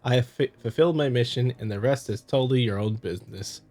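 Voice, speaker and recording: A man's voice: mid-range voice, fast talker, darkish tone, close to an everyday voice. A man voicing a stern prioress in a visual novel, an older woman who admonishes and coaxes in exasperation, with music behind. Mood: exasperated. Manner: admonishing, coaxing. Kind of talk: amateur visual novel voice acting.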